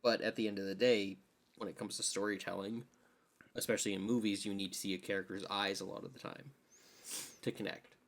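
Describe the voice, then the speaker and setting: A man's voice: on the low side, flat delivery, fairly quick, fairly bright tone. A man co-hosting a podcast, talking casually and giving his opinions in a conversational way. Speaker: podcast co-host. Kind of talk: casual chat about games and films.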